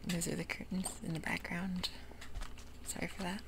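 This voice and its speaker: A host whispering a slow, meandering tour of a game world, close to the microphone, with frequent pauses and fillers. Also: medium pitch, little pitch movement, creaky. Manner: soft mostly unvoiced whisper; hesitant, meandering, with many fillers. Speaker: gameplay ASMR host. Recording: audible hiss with keyboard clicks.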